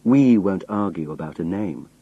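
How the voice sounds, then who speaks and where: A man voicing a sinister old spy chief in a story, calm, polite and quietly menacing. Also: low-pitched, normal speed, husky, narrow, tinny audio, heavily acted. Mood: smug calm.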